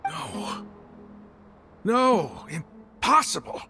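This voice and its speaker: Proud desert mercenary boss, game drama: halting and disbelieving, slow pace, highly varied pitch. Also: male voice, big pitch swings, bright, forceful tone, faint music behind, heavily acted. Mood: disbelieving, shaken. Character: proud desert mercenary leader, shaken and stubborn. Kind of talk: dramatic video game dialogue.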